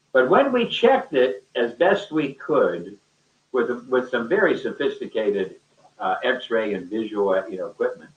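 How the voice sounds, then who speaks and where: A man of average pitch tells a story conversationally in an echoey room. He halts and repeats phrases for emphasis as he rambles along. The sound is muffled and lo-fi. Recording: roomy echo, distant mic, muffled sound.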